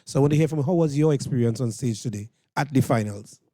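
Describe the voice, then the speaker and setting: A man's voice: mid-range voice, fast, mellow, dry recording, studio quality. A man with a Trinidadian accent hosting informal interviews with performers at an event. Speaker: interviewer and host.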